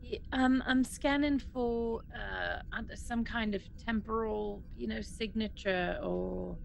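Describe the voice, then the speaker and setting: A woman's voice: a little high, takes it slowly, gravelly, slight background noise. A woman playing a tabletop role-playing game, chatting casually and thinking aloud in a hesitant way. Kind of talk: casual, improvised game chat.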